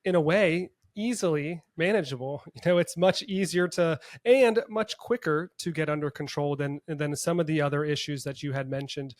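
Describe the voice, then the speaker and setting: A man's voice: a little high, moderate pace, hardly any pauses, no echo, clean studio sound. A man hosting a casual interview podcast in an upbeat, enthusiastic manner. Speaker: podcast host; orthodontist.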